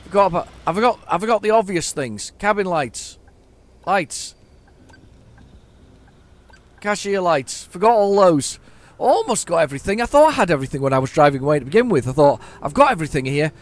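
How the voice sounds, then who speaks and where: A hoarse man giving casual, rambling commentary while playing a driving game at home, often stammering and repeating words as he chats to himself. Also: sits high, very animated, a little fast. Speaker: gaming YouTuber.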